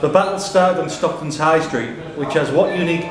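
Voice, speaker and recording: A man's voice: on the high side, a little fast. A man acting as question master, reading out quiz questions and ruling on answers in a pub room. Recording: roomy pub recording, chatter around. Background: people talking in the pub.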